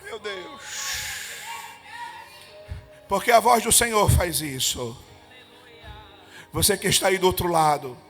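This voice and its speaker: A male evangelist leading a Pentecostal prayer, chanting, speaking in tongues and rising to fervent shouts. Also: sits high. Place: church. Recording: reverberant church hall with music.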